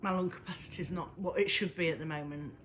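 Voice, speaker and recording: A woman chatting casually to camera about her knitting, a little breathless and wheezy, pausing often as she talks. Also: fairly low pitch, fairly even intonation, slight background noise. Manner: halting, pauses often. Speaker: knitting vlogger. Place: at home indoors.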